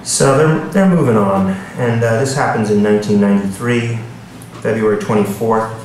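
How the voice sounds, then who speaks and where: A man's voice: fairly low pitch, normal speed, a little mellow. A man, an author, giving a live literary reading at a bookstore with casual asides to the audience. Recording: echoey room, distant mic, background noise.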